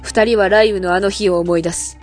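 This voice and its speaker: A woman's voice: medium pitch, fairly even intonation, normal speed, soft background music. A woman giving dramatic scripted narration for an audio drama's next-episode preview.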